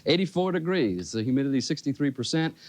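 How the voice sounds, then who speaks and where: A man's voice: medium pitch, animated, fast, a little mellow, no room sound. A man giving a chatty, informal live TV weather forecast, ad-libbing between the figures. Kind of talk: live ad-libbed local weathercast with banter.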